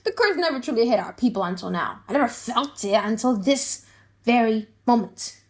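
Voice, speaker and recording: A woman's voice: fairly high pitch, lively intonation, moderate pace. Woman acting a bitter, vengeful old male moneylender. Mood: anguished. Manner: indignant, emphatic, rhetorical. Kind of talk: dramatic audiobook reading of a play.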